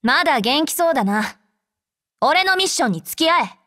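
A woman voicing a brash, tsundere young female android soldier in an anime game, with rough, blunt, tomboyish speech. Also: high-pitched, big pitch swings, normal speed, pushed and bright, no room sound, clean studio sound, full character voice. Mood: gruff, caring. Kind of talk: Japanese anime game character voice lines.

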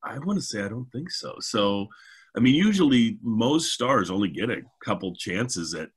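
A man's voice: lowish voice, animated, moderate pace, breathy edge. A middle-aged American man hosting a casual, chatty discussion over a video call, with an enthusiastic manner. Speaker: show host. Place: home, indoors, video call.

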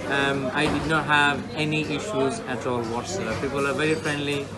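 A man's voice: mid-range voice, level tone, normal speed, a loud crowd. A man from Bangladesh in his thirties, answering interview questions casually, hesitant with many fillers, seated indoors by a brick wall. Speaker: interviewee, client.

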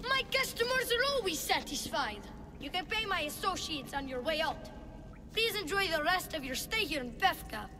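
A woman's voice: extremely high pitch, very animated, moderate pace. A woman voicing a young boy who is a confident, salesy tour guide and huckster, in a video game. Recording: game music underneath.

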